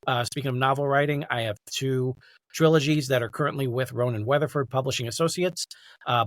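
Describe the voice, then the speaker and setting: A man's voice: mid-range voice, fairly bright tone, dry recording. A middle-aged American man from New York City, speaking conversationally as a podcast guest and audiobook narrator. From New York City, United States. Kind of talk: conversational interview.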